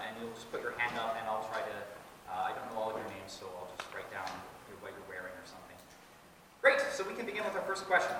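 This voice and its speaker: A man moderating a university panel discussion, introducing speakers and laying out the schedule with joking asides. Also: medium pitch. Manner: lively, joking asides while reading introductions. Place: large lecture hall. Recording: echoey hall from far off, faint hiss.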